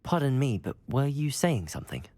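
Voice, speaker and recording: A man's voice: mid-range voice, big pitch swings, brisk, darkish tone, close to an everyday voice. A young man playing a polite, helpful teenage student in a video game, speaking in a courteous, earnest way. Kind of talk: video game dialogue acting.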